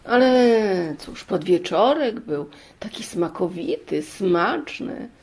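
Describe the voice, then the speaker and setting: A woman narrating a children's fairy tale as storyteller, deliberate, pausing between short phrases. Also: medium pitch, animated, a little mellow.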